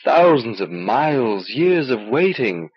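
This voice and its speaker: A man's voice: mid-range voice, big pitch swings, slow pace, slightly rough. A man narrating a work of fiction as a scripted audiobook reader. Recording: dull old cassette transfer.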